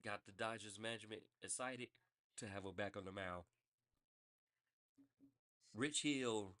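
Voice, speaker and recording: A man's voice: medium pitch, fairly bright tone. A man hosting a sports podcast, reading baseball news in a loose, rambling way and correcting himself as he goes. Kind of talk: casual sports news rundown.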